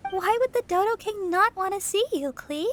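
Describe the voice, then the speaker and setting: A woman's voice: extremely high pitch, expressive, normal speed. A woman voicing a sweet, caring teenage girl in a video game, kindly, earnest and encouraging. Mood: concerned.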